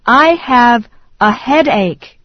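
A woman slowly reading English phrases one at a time in a language drill, with deliberate enunciation. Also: fairly high pitch, expressive, no echo. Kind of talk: slow repeat-after-me English drill. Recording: dry, dull lo-fi studio read.

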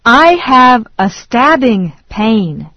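A woman slowly reading English phrases one at a time in a language drill, with deliberate enunciation. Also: fairly high pitch, very animated, pushed and bright, no room sound. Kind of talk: slow repeat-after-me English drill. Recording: dry, dull lo-fi studio read.

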